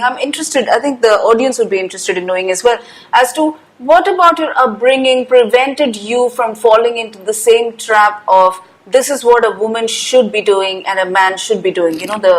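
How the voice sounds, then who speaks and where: This is a woman moderating a seminar panel. Her voice sits a little higher than most women's. She often starts her questions twice before they come out fully formed. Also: rough recording. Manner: restarts questions with doubled words.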